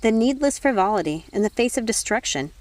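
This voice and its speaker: Animated woman reading a storybook. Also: a little high, brisk, dry recording. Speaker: audiobook reader. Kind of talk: expressive storybook narration.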